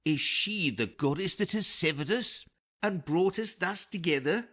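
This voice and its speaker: Man acting a grieving old king, high and sweeping. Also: animated, unhurried, a bit bright, heavily acted. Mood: awed, remorseful. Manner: theatrical, declamatory. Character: grieving old king of Naples, earnest and ceremonious. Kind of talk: dramatic Shakespeare audiobook reading.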